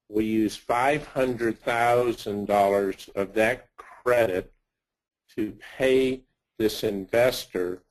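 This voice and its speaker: Slow-spoken man presenting company results. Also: fairly low pitch, a little mellow, low-quality recording. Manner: halting delivery in short phrases. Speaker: company executive presenting on investor call. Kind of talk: slide presentation of financial results.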